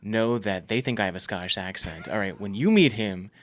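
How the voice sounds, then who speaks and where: A man's voice: lowish voice, a little fast, slight background noise. A young man co-hosting a podcast, speaking earnestly and thoughtfully about faith and habits, with frequent fillers. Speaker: podcast co-host. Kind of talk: casual faith talk.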